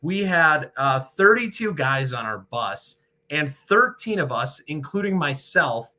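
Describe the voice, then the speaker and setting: A man's voice: on the high side, big pitch swings, slow, pushed and bright. An American man, a sportscaster from Michigan, talking casually and at length as an interview guest. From Michigan, United States. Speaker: sportscaster, radio play-by-play broadcaster, interview guest.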